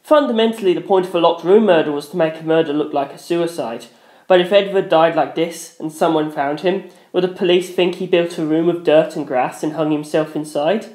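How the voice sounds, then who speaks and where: A man's voice: fairly high pitch, a little fast, a little mellow. A man reading a first-person story aloud as a dramatic narrator. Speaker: audiobook-style narrator. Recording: roomy echo.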